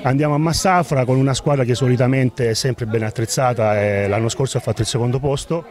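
A man's voice: medium pitch, fast, darkish tone. A middle-aged man speaking as a football coach in an outdoor post-match interview into a handheld microphone. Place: outdoors beside a sports ground. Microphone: handheld reporter microphone.

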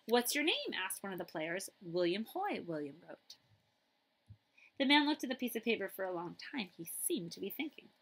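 A middle-aged woman reading a children's picture book aloud, with dramatic emphasis and pauses. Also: mid-range voice, sing-song, fairly bright tone.

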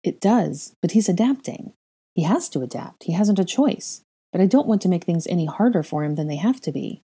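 A woman reader plays a firm, protective young mother in audiobook dialogue. Her voice is low, soft-edged and husky. She speaks with earnest insistence and a ready temper. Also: animated, fairly quick. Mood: calm, serious.

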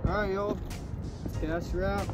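Casting: American male fishing vlogger, slightly high voice, folksy and informal, music behind. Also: steady tone, moderate pace. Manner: folksy, informal, plain-spoken. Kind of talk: casual outdoor vlog chat to viewers. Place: outdoors by a river.

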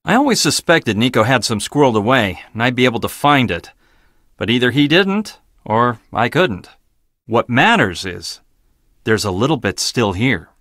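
An actor playing an arrogant, blunt, logical research scientist in a video game, with a clipped, dismissive, pedantic delivery. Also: male voice, mid-range voice, sing-song, moderate pace, fairly bright tone, dry recording, studio quality. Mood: dry, matter-of-fact.

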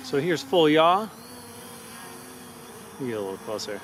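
A man with a slightly higher voice talks casually while flying a drone in an open park, upbeat and thinking aloud. The camera mic picks up clear traffic and wind noise around him. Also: sing-song, moderate pace. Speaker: drone reviewer. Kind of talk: casual outdoor review commentary. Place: outdoors in a park field.

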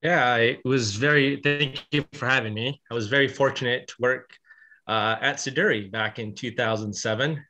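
A man from California speaks in a steady, plain way, dropping small fillers as he goes. His voice is bright and forward, at a middle pitch. He is heard over a video call. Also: moderate pace, soft background music. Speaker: winemaker. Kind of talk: video call panel talk.

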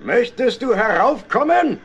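An actor playing a friendly, hearty locomotive driver in a children's radio play, jovially calling out. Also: male voice, very high voice, big pitch swings, unhurried, fairly bright tone, husky, highs cut off, full character voice.

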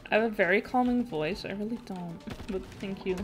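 A young woman streaming a game, chatting casually with her viewers in a nasal voice with vocal fry, giggling often and breaking into excited shrieks. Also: medium pitch, fairly quick, music in the background. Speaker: VTuber streamer. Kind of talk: casual gaming stream chat, reading chat aloud.

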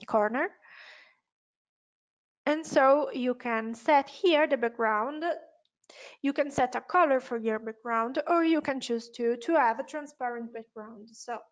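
A woman with an Italian accent presenting a step-by-step software tutorial, hesitant and unscripted, often correcting herself. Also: on the high side, rough recording. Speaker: webinar presenter, software trainer.

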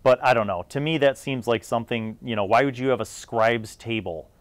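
A man's voice: medium pitch, a little fast, no room sound. A middle-aged man giving a casual, unscripted gaming review in a rambling, opinionated, conversational way. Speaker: host of a tabletop gaming review series.

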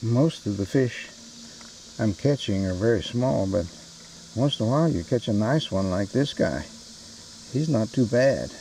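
A man giving casual, unhurried, plain-spoken narration for a fishing vlog, recorded outdoors on a creek with a handheld camera. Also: on the low side, animated, dark tone, poor audio. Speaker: angler filming his fishing trip. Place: outdoors in a float tube on a creek. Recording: outdoor creek noise with insects. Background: insects and natural outdoor ambience.

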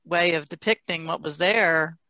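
A man's voice: a little high, normal speed, sharp tone, no echo. A man taking part in a casual podcast discussion as one of the panel.

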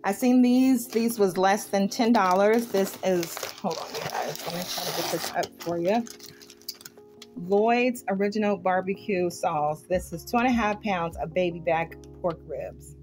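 A woman's voice: mid-range voice, a little slow. A woman vlogger in her home kitchen, casually and informally listing items to viewers as she shows them. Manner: informal, colloquial grammar, itemizing. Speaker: vlogger showing a grocery haul. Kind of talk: casual chat to viewers, product show-and-tell. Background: music.

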